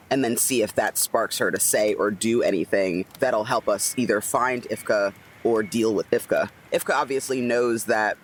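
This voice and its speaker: A man playing a tabletop roleplaying game, casually reasoning aloud about his character and checking in with listeners. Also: lowish voice.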